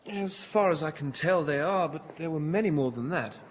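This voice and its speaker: An actor playing a polite, careful clerk answering questions in a radio drama. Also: male voice, on the high side, expressive, normal speed, big-room sound, a little hiss or hum, lightly acted. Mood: polite, guarded. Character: polite, careful male clerk serving police.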